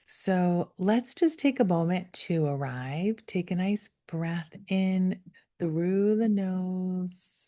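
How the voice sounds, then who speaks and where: A woman leading a guided meditation, speaking gently and without hurry, with soft, breathy phrase endings that fall toward a whisper. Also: fairly low pitch. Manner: gentle, unhurried, with long pauses. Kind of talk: guided meditation, slow soothing instruction.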